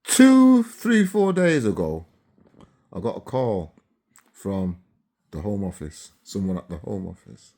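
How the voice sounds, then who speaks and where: A man's voice: on the low side, sing-song, slow pace, warm tone. A man in his seventies, originally from Barbados, talking casually to camera and often checking in with his viewers. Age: 70s. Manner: chatty, keeps checking in with listeners. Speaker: vlogger.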